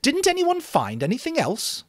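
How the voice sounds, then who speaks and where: Man doing an eager, high-pitched assertive schoolboy. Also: wildly swooping pitch, moderate pace, dry recording, clean studio sound, exaggerated voice. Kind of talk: dramatic audiobook character voice.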